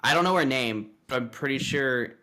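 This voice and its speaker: A man's voice: mid-range voice, normal speed, bright, forceful tone, poor audio. A young man chatting casually as a host, with a wry, slangy, sweary way of talking. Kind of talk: casual chat.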